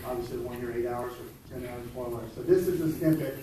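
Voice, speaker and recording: A man chairing a committee meeting, explaining a ruling with halting restarts. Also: medium pitch. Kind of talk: presiding over a committee meeting. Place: large echoey meeting hall. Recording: echoey hall from far off, noisy.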